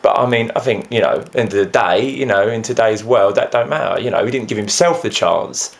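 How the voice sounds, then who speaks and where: A man performing a dramatic stage monologue as a grieving, frustrated friend of a lost musician, speaking earnestly with halting repetition. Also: on the low side, animated, gravelly. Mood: frustrated sorrow. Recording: faint noise behind the voice.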